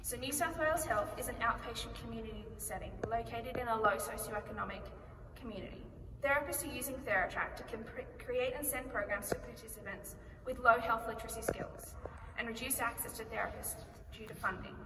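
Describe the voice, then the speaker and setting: A woman with an Australian accent giving a rehearsed conference pitch, speaking in a clear and measured way and posing rhetorical questions. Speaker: company founder pitching. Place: large conference hall. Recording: echoey hall recorded from far off, noisy.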